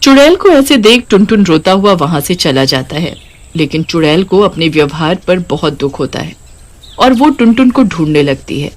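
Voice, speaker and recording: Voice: medium pitch, big pitch swings, moderate pace. A narrator reading a scripted cartoon story.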